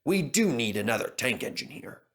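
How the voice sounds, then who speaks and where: A man's voice: medium pitch, big pitch swings, normal speed, a bit bright. A man voicing a pompous, stern but fatherly railway boss with a formal, authoritative manner in a children's story. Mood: kindly firm.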